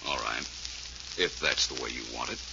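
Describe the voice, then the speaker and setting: An actor playing a shrewd, urbane detective in a 1940s radio drama, with polished, dryly courteous questioning. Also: male voice, rumbling low, moderate pace, gravelly, bright, forceful tone, heavily acted. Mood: coolly probing. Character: shrewd, urbane male detective, coolly probing. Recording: noisy, muffled 1940s radio recording.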